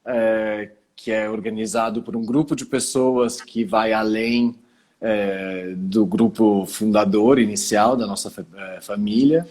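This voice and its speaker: A man from Italy, speaking as a guest on a video call through his phone, calmly explaining things and often pausing to collect his thoughts. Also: on the low side. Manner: calm, explanatory, pauses often to gather thoughts. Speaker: guest, cultural center founder. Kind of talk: casual video call interview.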